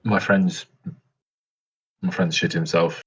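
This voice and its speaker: A man playing a sheepish, deadpan adventurer in a tabletop game, making understated excuses for a friend. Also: deep voice, slow, husky, lo-fi sound, full character voice.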